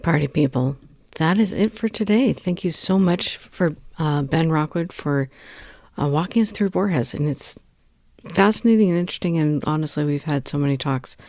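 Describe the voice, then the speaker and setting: A woman's voice: sits low, creaky, a little mellow, no echo. A woman hosting a podcast, delivering a sign-off to listeners in an outro style. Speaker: podcast host giving sign-off. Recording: dry close recording with music behind. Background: faint music.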